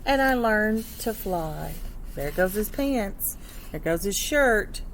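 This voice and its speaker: A woman's voice: a little high, vocal fry, slight background noise. A woman teacher reading a picture book aloud to children, expressively, with instructive asides and questions for young listeners.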